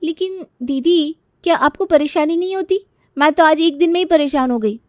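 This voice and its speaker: A woman's voice: high-pitched, normal speed, a little mellow, no echo, clean studio sound, lightly acted. A woman playing a whiny, modern young daughter-in-law who complains dramatically in a Hindi family story. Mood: dismayed. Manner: exasperated, dramatic complaining with exclamations.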